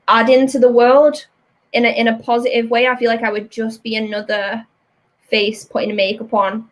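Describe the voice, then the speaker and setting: A British woman hosting a casual chat while inking comics, lively, frank and chatty. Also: fairly high pitch, normal speed. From Britain. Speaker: host, comic artist.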